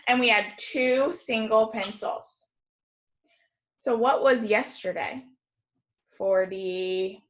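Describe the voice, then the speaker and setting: Bright, slow-paced American woman teaching children. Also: fairly high pitch. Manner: encouraging, exaggerated clear enunciation. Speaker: kindergarten teacher. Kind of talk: distance lesson for young children, call-and-repeat drill.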